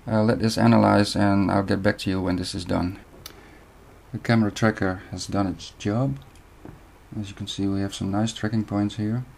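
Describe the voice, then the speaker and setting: Gravelly Dutch-accented man teaching software. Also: fairly low pitch. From Netherlands. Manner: steady step-by-step instruction with short pauses. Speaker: video editing tutor. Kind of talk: screen-recorded software tutorial.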